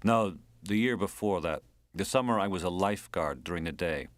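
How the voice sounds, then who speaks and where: A male narrator acts out a wry, earthy American man in an audiobook. The voice sits deep and very dry, with an American accent. He reminisces in clipped, colloquial fragments. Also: animated, normal speed, no echo. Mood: wry, reminiscing.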